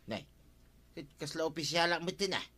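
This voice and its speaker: A man's voice: fairly high pitch, moderate pace, pushed and bright. An actor with an Ilocano accent playing a cheeky, stubborn old village man in a comedy radio drama. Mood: boastful.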